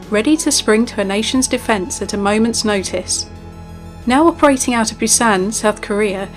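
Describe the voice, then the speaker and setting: A woman giving scripted narration for a video game character profile. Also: medium pitch. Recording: music behind.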